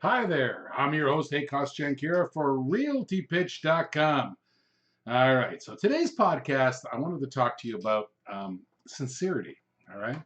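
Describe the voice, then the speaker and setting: A man's voice: medium pitch, very animated, unhurried, a bit bright. A man whose first language is Hungarian hosts a solo podcast, giving loose, rambling coaching talk with frequent "um" and "all right?" checks. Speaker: podcast host, real estate coach. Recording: dull lo-fi recording.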